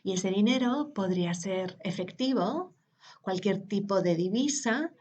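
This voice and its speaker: A woman, interpreting a conference talk live, hesitant with pauses and filler sounds. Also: mid-range voice, expressive, darkish tone.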